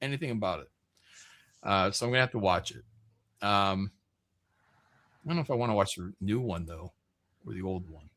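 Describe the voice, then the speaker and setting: A man's voice: lowish voice, normal speed, long pauses, fairly bright tone. A man hosting a livestream, chatting casually and reading out viewer comments in an irreverent, joking, profane way. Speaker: livestream host.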